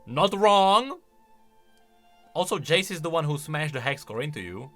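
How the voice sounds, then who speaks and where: A male video host reacting casually to game lore in a conversational, questioning way. His voice is bright and fairly high, swinging animatedly up and down over faint music. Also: sing-song, unhurried. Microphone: close studio-style microphone.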